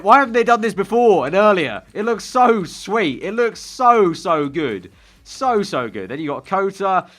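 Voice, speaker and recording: A man's voice: medium pitch, fairly bright tone. A man giving casual, enthusiastic video game trailer commentary in an informal way, often repeating phrases for emphasis. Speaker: gaming video host.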